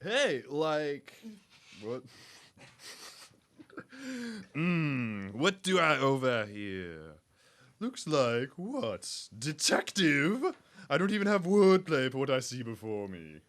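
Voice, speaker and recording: A man's voice: medium pitch, big pitch swings, slow, pauses often, fairly bright tone. A man playing a laid-back, snooty West Coast dude henchman in a comedy radio serial, drawling and saying "like" constantly. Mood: baffled.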